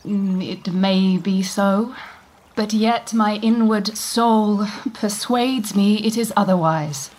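Woman doing a sad queen, slow, mid-range voice. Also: medium pitch, a little mellow. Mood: melancholy. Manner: melancholy, brooding. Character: the sorrowful young Queen. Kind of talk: Shakespearean audio drama.

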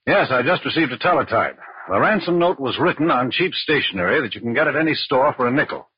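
An actor playing a brisk, businesslike federal agent in charge in a 1940s radio crime drama. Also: male voice, medium pitch, animated, breathy edge, dry recording. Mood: methodical. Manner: businesslike, firm. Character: brisk, authoritative male FBI agent in charge. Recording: dull, dry old radio recording.

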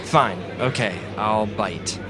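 A man's voice: on the low side, slow pace, a crowd in the background. An actor playing a casual, skeptical young man in an audio drama, with an offhand, prodding manner. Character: casual, skeptical young man secretly infiltrating rich kids.

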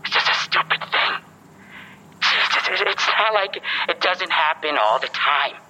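A man's voice: fairly high pitch, animated, measured pace, bright, forceful tone, full character voice, poor audio. A man playing a dismissive, defensive robbery suspect on a played-back recording in an audio drama, talking in an offhand way. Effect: played-back phone recording.

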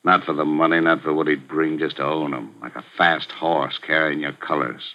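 An actor playing a ruthless middle-aged gambling boss, talking tough street slang in a slow, menacing drawl, in 1940s radio acting. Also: male voice, a bass voice, fairly even intonation. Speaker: gambling house owner. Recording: muffled 1940s radio broadcast.

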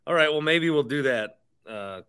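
Bright-voiced man co-hosting sports talk. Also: fairly high pitch, expressive, normal speed, clear, resonant tone. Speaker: sports podcast co-host. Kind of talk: casual sports chat with sponsor reads.

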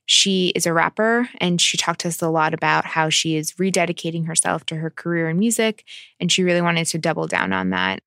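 A woman who works as a deputy editor, explaining a story in a measured, deliberate way. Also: on the low side, lively intonation, creaky, fairly bright tone, no echo, clean studio sound. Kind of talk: explanatory podcast talk.

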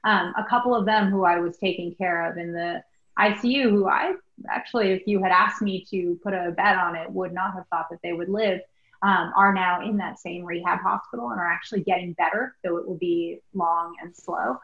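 A middle-aged American woman, a guest doctor answering interview questions in a thoughtful, halting, conversational way. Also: lowish voice, fairly even intonation. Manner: thoughtful, halting, peppered with like and you know. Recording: roomy, dull lo-fi remote recording.

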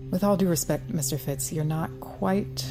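Woman playing a cool govt agent lady, low mellow voice, measured and in charge, faint music behind. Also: lightly acted. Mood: grave, persuasive. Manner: measured, authoritative. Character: cool, controlled female special agent. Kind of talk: audio drama acting.

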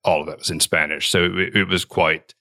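A man with a very deep voice talks things through slowly and thoughtfully, circling back and restarting his sentences as he goes. He sounds like a guest on a conversational podcast, telling stories about his work. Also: level tone, gravelly, bright, forceful tone. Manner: reflective, rambling with frequent restarts and fillers. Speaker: interview guest, consultant.

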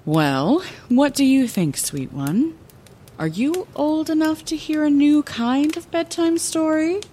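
A woman's voice: high voice, animated, takes it slowly, dark tone, slight background noise. An actress playing a fond, playful middle-aged woman lighthouse keeper, telling her past as a theatrical bedtime story in a fantasy audio drama. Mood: warm, teasing. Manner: theatrical, wordy, chatty asides.

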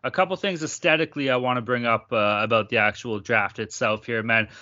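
A man's voice: mid-range voice, steady tone, fairly quick, pushed and bright. A man co-hosting a sports podcast, ranting casually and swearing constantly. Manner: profane ranting, lots of swearing. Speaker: podcast co-host. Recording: slightly dull lo-fi audio.

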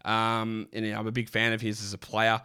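A man, sick and croaky, hosting a casual basketball podcast with lots of rambling restarts. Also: on the low side, completely flat delivery, fairly quick, bright, forceful tone. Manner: rambling, chatty, many fillers and restarts. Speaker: fantasy basketball podcast host. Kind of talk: casual solo podcast talk.

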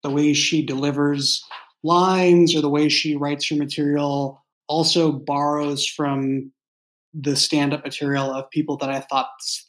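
A man speaks in a mid-pitched voice, as a writer chatting about his craft. He explains in a casual, halting way and often circles back to reword what he has begun. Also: rough recording. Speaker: fiction podcast writer and creator. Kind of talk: casual behind-the-scenes discussion.

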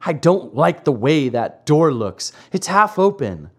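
A narrator voicing a whiny, stubborn little wooden boy marionette in a high, squeaky, childlike storybook voice. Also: sing-song, a little slow, breathy edge, heavily acted. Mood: complaining. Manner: whining, childlike, pleading.

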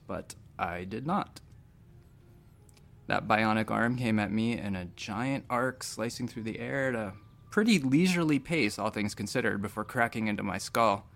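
A man's voice: fairly low pitch, gravelly, a bit bright. A man playing a wry, rambling space doctor who narrates an audio drama in a conversational, hesitant way with dry humor. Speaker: narrator and lead character.

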